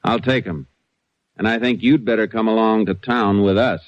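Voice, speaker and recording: An actor playing a calm, laconic Texas Ranger with an American accent, measured and matter-of-fact in old radio drama style. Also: male voice, lowish voice, heavy background noise, lightly acted. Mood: calm questioning. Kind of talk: 1940s-50s radio drama acting. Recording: dull vintage radio recording.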